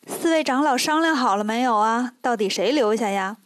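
A woman's voice: a little high, lively intonation, normal speed, close to an everyday voice. A woman voicing a crafty, coy middle-aged mother-in-law with a coaxing manner in a storybook tale. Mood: prodding.

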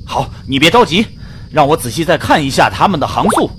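A man playing an anxious, excitable spaceship navigator in a science fiction radio drama. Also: on the high side, brisk, very noisy. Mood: puzzled, worried.

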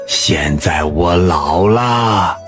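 A hardworking old ox, as a man acts him out, low, loud and very slow, with each complaint weighed out ponderously. Faint music plays behind. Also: heavily acted. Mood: aggrieved.

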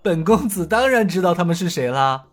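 A man doing a carefree young hero of a martial-arts audio story, lifted high in pitch and full of sing-song swoops. He taunts with a teasing, mocking lilt over faint music. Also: expressive. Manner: mocking, laughing, drawn-out taunts. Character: cocky young male swordsman, playful and mocking.

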